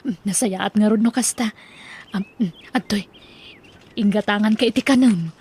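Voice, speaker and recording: An actress playing a kind, sympathetic young housemaid in a radio drama, husky, with an Ilocano Filipino accent. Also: female voice, mid-range voice, moderate pace, a little mellow. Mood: gentle.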